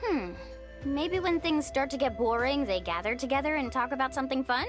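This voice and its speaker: A woman's voice: sits high, expressive, brisk. A woman playing an upbeat, confident young female adventurer and guide in a video game, bubbly and encouraging. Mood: playful. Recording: game music behind the voice.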